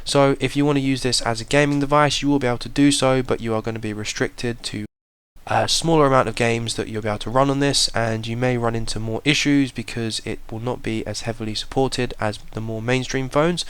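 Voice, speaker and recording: A man narrating a tech review in a plain, measured, explanatory way. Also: mid-range voice, fairly even intonation, a bit bright, clean tone, no echo. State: slight cold. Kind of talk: voice-over product review.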